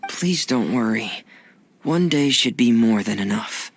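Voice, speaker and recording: An actor voicing a shy, earnest teenage boy in a video game, hesitant, reserved and soft-spoken. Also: medium pitch, animated, measured pace, gravelly, a little hiss or hum.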